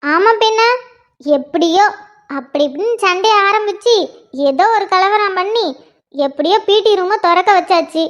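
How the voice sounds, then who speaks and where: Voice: extremely high pitch, a little slow, long pauses, fairly bright tone. A performer doing an eager chatty schoolgirl. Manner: eager storytelling. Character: chatty young schoolgirl, the younger sister. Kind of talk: one-person comedy skit. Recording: dull lo-fi recording.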